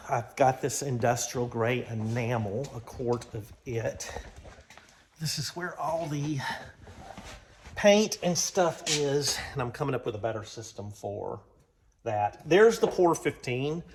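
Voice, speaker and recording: Casual American man with noisy background. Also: medium pitch. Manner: folksy, informal, thinking aloud. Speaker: vlog host. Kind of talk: casual rambling garage vlog. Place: home garage workshop.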